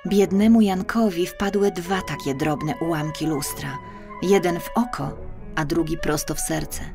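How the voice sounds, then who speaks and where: A woman narrating a children's fairy tale as an audiobook reader, expressive and deliberate, over music. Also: fairly low pitch.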